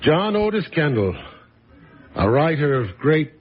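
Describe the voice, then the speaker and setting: A man's voice: on the high side, big pitch swings, a little slow, slightly rough, exaggerated voice. A man acting in a radio drama as a once-celebrated writer, telling his story in a florid, genial, theatrical manner. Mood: genial, storytelling. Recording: dull lo-fi radio recording with music behind.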